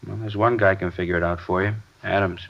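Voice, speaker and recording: A low, matter-of-fact American policeman in a fifties radio drama. He talks in a casual, reporting way. The broadcast sound is dull and old. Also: steady tone, fast talker, gravelly. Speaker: police colleague. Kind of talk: 1950s radio drama acting.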